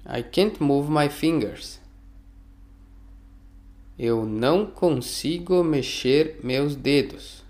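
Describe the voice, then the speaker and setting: A man teaching phrases, reading each one slowly and repeating it for learners. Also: on the high side, darkish tone. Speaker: language teacher. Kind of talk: slow phrase drill for learners.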